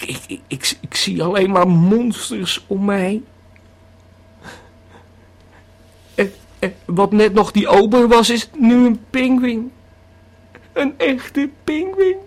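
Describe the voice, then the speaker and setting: A man's voice: very high-pitched, slow pace, exaggerated voice. A man playing a rambling male tourist who is tripping on a pill, stammering and repeating his words in a radio drama monologue. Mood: dazed, alarmed.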